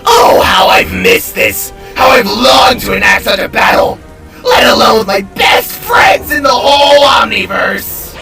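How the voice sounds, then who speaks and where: A man voicing a massive, manic glitch villain in an audio story, gleefully erratic and theatrical, taunting with drawn-out exclamations. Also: sits high, vocal fry, shouting, far from the mic, music in the background, voice pushed up, heavily acted. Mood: manic glee.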